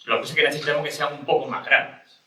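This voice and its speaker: Bright-voiced man on a panel, echoey room. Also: a little high, little pitch movement, racing, recorded from a distance. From Cádiz, Spain. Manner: rambling, many restarts and rhetorical questions. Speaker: panel speaker. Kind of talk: panel discussion.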